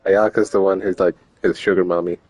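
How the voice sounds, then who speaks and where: Young male streamer, deep voice, slangy casual gameplay chat, faint music behind. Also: little pitch movement, fairly quick, darkish tone. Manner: slangy, joking. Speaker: game streamer.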